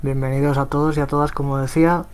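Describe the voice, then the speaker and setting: Man from Spain, flat delivery, hesitant panel speaker on a call. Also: medium pitch, fast, clean tone. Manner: flat, hesitant, many restarts. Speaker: volunteer software translator. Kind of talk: remote panel talk.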